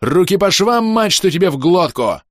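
Gruff ship's officer, bellowing and bullying crew, put on by a male narrator; raised, dry-voiced shouting. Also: high voice, big pitch swings, normal speed, no echo, pitched above the performer's own voice. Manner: barking, drill-sergeant shouting. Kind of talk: audiobook character voice.

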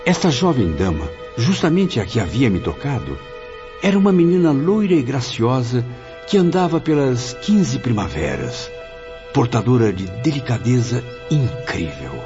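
A man's voice: mid-range voice, very animated, moderate pace, close to an everyday voice. A man playing a reflective, reverent spirit who narrates his afterlife memories in a radio drama, in a literary, emotive way. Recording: dull sound, music behind.

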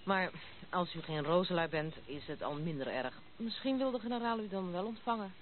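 A woman's voice: on the low side, lively intonation, brisk, natural voice. An actress playing a proud, outspoken young noblewoman in a Dutch radio play, with a brusque, mocking manner. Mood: guarded, cool. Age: 20s. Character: blunt, proud, unconventional young noblewoman of twenty-six. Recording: tinny band-limited old radio play recording, noisy.

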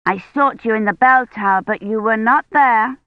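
A woman acts out a small storybook girl with a high, eager voice. She is trusting and insistent, speaking artlessly in old-fashioned phrases. The recording is dry and a little dull. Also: moderate pace, no room sound, close to an everyday voice. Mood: excited, eager. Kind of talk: audiobook character acting.

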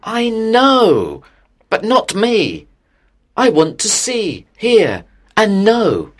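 A man's voice: high-pitched, huge pitch swings, drawn-out words, full character voice. A man playing an intense, restless teenage boy in an audio story, speaking in an earnest, insistent way. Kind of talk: acted dialogue in an audio story.

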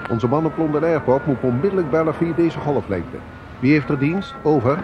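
An actor playing a brisk, sardonic British intelligence officer with an authoritative manner in a radio play. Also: male voice, fairly high pitch, expressive, lo-fi sound, natural voice. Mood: urgent, sardonic. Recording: noisy car and vehicle ambience.

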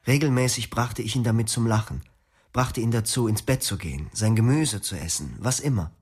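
A man narrating his memories in the first person, reflective and quiet. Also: medium pitch, a little fast, slightly rough. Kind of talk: scripted memoir narration. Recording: music behind.

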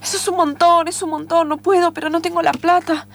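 A woman plays a dreamy young woman traveller with a high voice and an Argentine accent. She is naive and theatrical, hesitant and plaintive in the way of improvised radio drama. A faint hum runs behind the voice. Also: level tone, a little fast, vocal fry. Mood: flustered.

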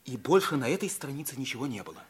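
An actor playing an earnest, impassioned schoolboy hero, speaking with fervent dramatic pauses in a 1950s radio drama. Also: male voice, mid-range voice, very animated, husky. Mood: fervent storytelling. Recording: vintage 1950s radio play recording.

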